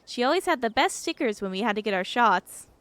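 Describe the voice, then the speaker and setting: A woman's voice: fairly high pitch, expressive, a little fast, fairly bright tone, no room sound. A woman playing a friendly, eager, slightly nervous young small-town woman in a visual novel, chatty and apologetic. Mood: friendly, uneasy.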